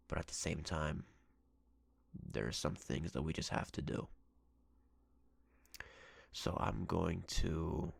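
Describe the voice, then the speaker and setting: A menacing, obsessive ex-boyfriend acted by a man for an ASMR roleplay. His voice is extremely low, thick with fry and breathy, in a very dry, close recording. He speaks with possessive, threatening deliberation. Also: no room sound, heavily acted. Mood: sinister, teasing. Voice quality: gravelly, husky, breathy. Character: menacing obsessive yandere ex-boyfriend, a jealous captor.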